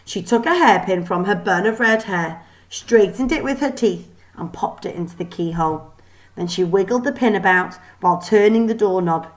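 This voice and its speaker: A woman reading a children's novel aloud as expressive scripted narration. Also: medium pitch, moderate pace, rough recording. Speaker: storybook reader.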